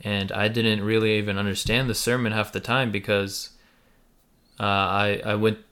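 A man from the United States whose first languages were Russian and Ukrainian, chatting casually on a podcast. He speaks in a low, fairly flat voice. He pauses often and fills the gaps with "uh" and "like.". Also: clean tone. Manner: hesitant, many fillers. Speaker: podcast co-host. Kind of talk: casual conversation.

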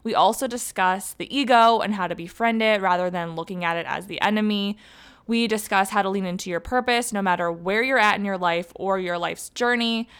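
An upbeat woman hosting a podcast, with a voice of middling pitch. She reads a guest introduction and then slips into relaxed, chatty questions. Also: brisk, smooth. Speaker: podcast host. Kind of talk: podcast interview, scripted intro.

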